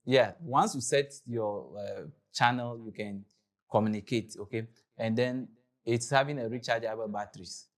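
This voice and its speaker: A man with a Ghanaian accent presenting an informal explanatory tutorial and product overview. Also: lowish voice.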